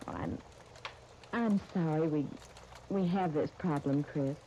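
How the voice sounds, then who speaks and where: A courteous woman who runs a dress shop in a 1930s radio soap. Her voice is lowish and mellow, her delivery measured and careful. Faint crackle from an old broadcast disc runs underneath. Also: muffled recording. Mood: apologetic. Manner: polite, measured, hesitant.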